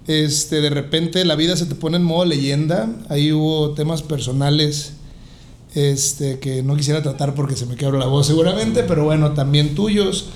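Higher-pitched Mexican man hosting casually. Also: steady tone, moderate pace. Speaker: podcast host. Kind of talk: casual interview chat.

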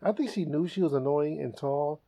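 A man hosting a comedy podcast, telling stories in a casual, animated way and laughing often. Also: on the high side, moderate pace, creaky. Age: adult. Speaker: podcast host.

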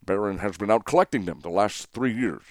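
A man voicing a gentle, calm silver construct man who speaks softly and kindly, in an audiobook. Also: fairly low pitch, dry recording, studio quality, natural voice. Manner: soft, gentle, measured.

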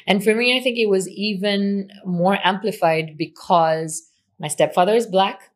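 A woman's voice: medium pitch, measured pace. Kenyan-accented woman telling her story. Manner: open, self-deprecating, laughs often. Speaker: guest. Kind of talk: candid conversational storytelling.

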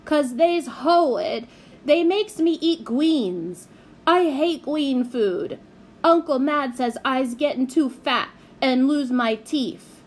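A woman's voice: sits high, slow pace, voice pushed up. A woman voicing a spoiled, sulky small girl with babyish grammar. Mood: whiny. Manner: childish grammar, whiny.

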